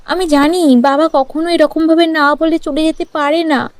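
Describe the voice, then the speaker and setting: A woman's voice: sits high, steady tone, moderate pace, dry recording, voice pushed up, natural voice. A woman voicing a determined, loving sixteen-year-old village girl who pleads insistently in a cartoon story. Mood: pleading, determined. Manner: pleading, insistent, repeats words emotionally. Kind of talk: dramatized cartoon story acting. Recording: music under the voice.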